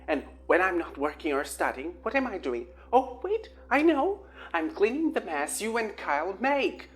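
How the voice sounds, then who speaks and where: A woman voicing a dramatic, flustered teenage girl witch who talks in a high-strung, theatrical way. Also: very animated, moderate pace, lightly acted. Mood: exasperated.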